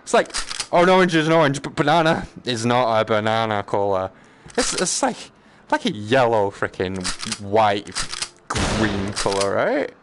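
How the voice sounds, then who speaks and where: A man's voice: fairly low pitch, sing-song, a little slow. A man chatting casually on a live game stream, joking in a slangy, sweary way. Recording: clear background noise.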